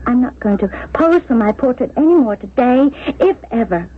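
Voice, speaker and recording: An actress as a high-voiced, spirited young woman. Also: animated, normal speed, narrow, tinny audio, natural voice. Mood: indignant. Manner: proud, emphatic, girlish. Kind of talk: 1970s radio drama acting. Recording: thin, hissy 1970s radio recording.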